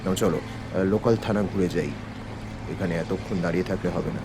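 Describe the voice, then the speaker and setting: An actor playing a composed, probing police detective in charge, questioning in a measured way in an audio crime drama. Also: male voice, sits low, background noise.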